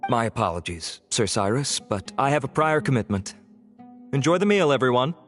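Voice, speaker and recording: An actor playing a measured, formal investigator who reports findings politely, in a game scene with faint music. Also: male voice, mid-range voice, sing-song, lightly acted.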